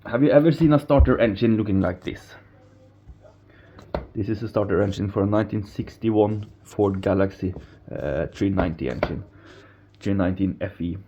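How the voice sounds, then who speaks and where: A man's voice: on the low side, normal speed, mellow. A man from Norway, not a native English speaker, casually rambling through a tour of his apartment, stumbling over words and chuckling at himself. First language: Norwegian. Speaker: aquarium hobbyist vlogger. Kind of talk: casual home tour vlog. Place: apartment, indoors. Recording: slightly dull home recording with faint noise.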